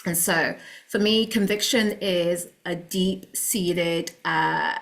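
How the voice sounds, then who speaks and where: A woman's voice: on the low side, steady tone, slow pace, fairly bright tone. A woman with a London accent, a podcast guest talking in an earnest, motivational way. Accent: London English. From London, England. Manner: earnest and motivational, with a few laughs. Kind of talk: casual interview chat.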